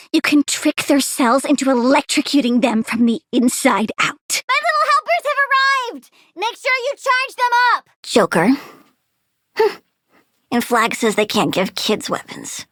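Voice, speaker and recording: A woman's voice: sits high, a bit bright, dry recording, clean studio sound, heavily acted. A woman voicing a childlike, sweet and quirky girl plant villain with gleeful menace, as a video game character. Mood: gleeful, fierce. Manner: cutesy, childlike, matter-of-fact about menace.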